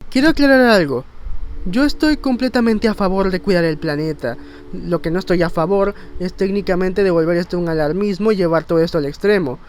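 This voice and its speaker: A man's voice: high voice, brisk. A man narrating a video essay with personal opinions, in an emphatic, rhetorical way with dramatic stress on key words. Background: faint music.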